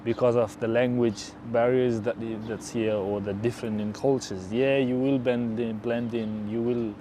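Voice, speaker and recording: A man's voice: medium pitch, steady tone, normal speed, warm tone, slight background noise. A young man from Afghanistan in his twenties, chatting casually in a documentary interview with many fillers. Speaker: interviewee, engineering student.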